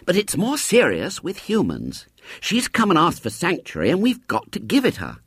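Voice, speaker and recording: A man puts on a bookish storybook schoolboy with a high, lively voice. He reasons things out earnestly, hesitating as he explains. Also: moderate pace, slightly rough, no echo, clean studio sound, heavily acted.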